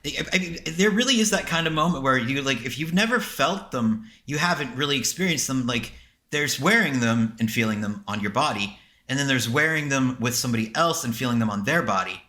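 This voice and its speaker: Brief: male podcast co-host for casual banter, crisp bright tone at average pitch, emphatic, repetitive, happy to swear. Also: expressive, fairly quick. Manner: emphatic, repeats himself, sweary.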